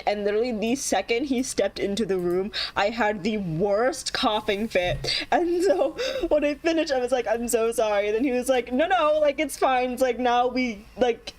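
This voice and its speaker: A young woman telling a long story in casual chat with friends, rambling with lots of ums and laughing as she goes. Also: fairly high pitch. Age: young adult. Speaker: podcast co-host.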